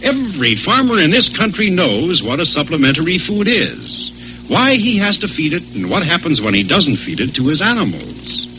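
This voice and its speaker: Swooping 1940s American radio announcer man. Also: medium pitch. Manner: emphatic, folksy persuasion with repetition. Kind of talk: 1940s radio sponsor pitch and narration. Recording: muffled 1940s radio recording.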